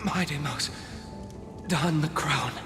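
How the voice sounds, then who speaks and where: Loyal dying soldier for a game: slightly high voice, earnest, slow, echoing. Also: male voice. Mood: pleading, fading. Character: devoted dying soldier pleading with his prince. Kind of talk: dramatic video game voice acting. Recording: echoey long reverb, music underneath.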